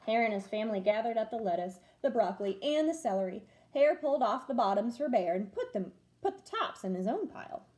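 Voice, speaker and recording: Animated, high-voiced woman reading a storybook. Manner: expressive, deliberate phrasing for children. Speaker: teacher reading stories to pupils. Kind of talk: animated children's storybook read-aloud.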